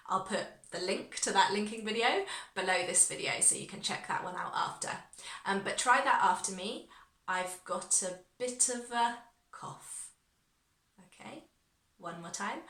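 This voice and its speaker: A woman teaching an online English lesson, encouraging and clear, saying phrases slowly for learners to repeat. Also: medium pitch, bright, forceful tone, roomy sound.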